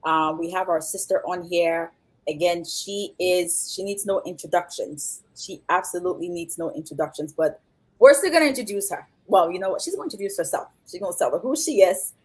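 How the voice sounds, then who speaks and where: Live show host: woman, lowish pitch, effusive, repeats herself for emphasis. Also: brisk, slightly rough, low-quality recording. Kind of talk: live stream introduction and interviewing.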